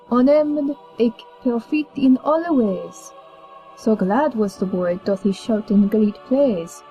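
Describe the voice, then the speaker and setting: Slow, mellow young woman storyteller. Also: fairly high pitch, poor audio. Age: young adult. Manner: measured, declamatory. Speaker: storyteller, college student. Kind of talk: scripted narration of an archaic verse tale. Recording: music under the voice.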